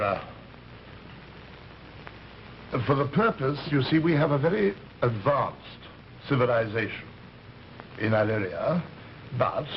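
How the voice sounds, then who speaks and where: An actor in an old radio drama playing an urbane alien recruiter, polite and pompous, hesitating and rambling as he talks. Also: mid-range voice. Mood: patient explaining. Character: urbane, genteel alien recruiter posing as an Earthman. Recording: muffled, hissy old radio recording.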